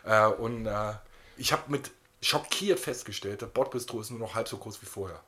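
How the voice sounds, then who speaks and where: Male podcast co-host, lowish voice, loose and wryly rambling chat. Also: normal speed, sharp tone. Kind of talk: casual banter.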